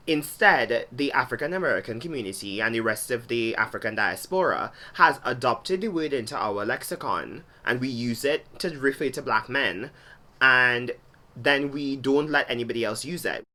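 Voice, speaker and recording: Bright-voiced Trinidadian young man. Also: medium pitch. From Trinidad and Tobago. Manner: thoughtful, explanatory. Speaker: vlogger. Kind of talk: solo talk-to-camera vlog.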